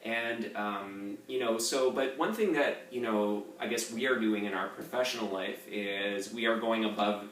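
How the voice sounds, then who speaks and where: A man, a composer, hosting a relaxed interview, chatty and loose with plenty of fillers. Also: fairly low pitch, moderate pace, hardly any pauses. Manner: chatty, loose, lots of "you know" fillers. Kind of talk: casual interview chat. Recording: roomy echo, distant mic, faint noise.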